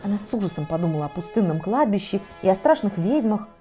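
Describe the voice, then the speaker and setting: A middle-aged woman reading a fairy tale aloud to children in a cozy studio, dramatic with wide swings in pitch and loudness. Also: big pitch swings, quick pace, soft background music. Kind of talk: expressive storybook reading for children. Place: cozy indoor studio set with armchair and fireplace.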